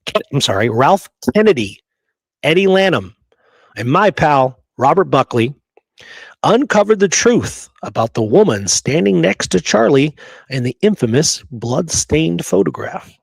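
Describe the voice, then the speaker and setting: A man's voice: a little high, gravelly, no room sound, poor audio. A man hosting a history show, presenting research emphatically, partly from prepared notes, often checking in with listeners. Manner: emphatic, checks in with rhetorical right and okay.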